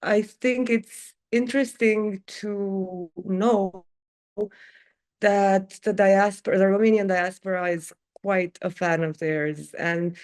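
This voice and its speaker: A woman's voice: medium pitch, fairly even intonation, slow pace, a little mellow. Earnest woman weighing in on politics. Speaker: panel guest. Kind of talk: studio panel talk.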